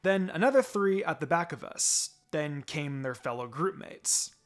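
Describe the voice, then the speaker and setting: Guy reading fanfic out loud, kinda high voice, faint music behind, story narration. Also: expressive, a little slow. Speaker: fan fiction reader. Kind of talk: dramatic first-person story narration. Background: background music.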